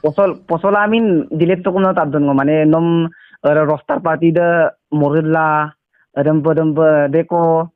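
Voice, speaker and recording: A man chatting casually on the phone, speaking haltingly and mixing in English words. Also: a little high, fairly even intonation, clean tone, no echo, narrow, tinny audio.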